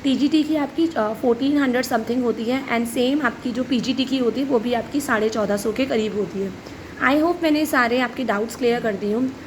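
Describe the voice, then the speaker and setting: A hoarse woman, a teacher, answering viewers' questions one by one and mixing English phrases into her Hindi. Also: on the high side, a little fast, a little hiss or hum, low-quality recording. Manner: mixes English into Hindi, explanatory and direct. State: hoarse from shouting at school. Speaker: teacher and channel host.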